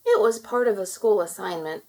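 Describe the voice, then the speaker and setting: A woman's voice: medium pitch, normal speed, lightly acted, low-quality recording. A woman voicing a friendly, polite village mother who explains things in a children's storybook.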